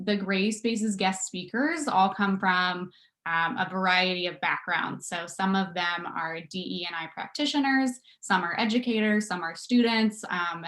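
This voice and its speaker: A woman opens and steers an online panel discussion in a somewhat low voice. She talks casually, with plenty of ums, and corrects herself as she goes. The sound is that of a video call. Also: bright, forceful tone. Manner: casual, many "um" fillers, self-correcting. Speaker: talk show host.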